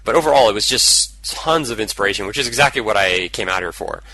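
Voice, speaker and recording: A man hosting his podcast alone, talking casually and a little hoarse from a cold. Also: on the low side, animated, fast, sharp tone, poor audio. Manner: casual, rambling, upbeat. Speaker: podcast host. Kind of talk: solo podcast monologue. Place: indoors, traveling.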